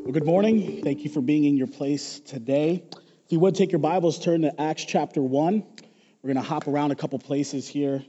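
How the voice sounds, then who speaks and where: A hoarse male pastor giving a casual, joking sermon to his congregation in a church. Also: on the high side, normal speed, mellow. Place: church sanctuary. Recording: dull echoey church hall recording.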